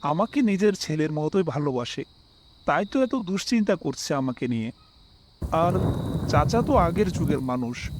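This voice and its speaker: High-pitched, expressive young male storyteller. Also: noisy background. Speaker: first-person narrator and main character. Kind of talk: dramatised scripted horror story narration.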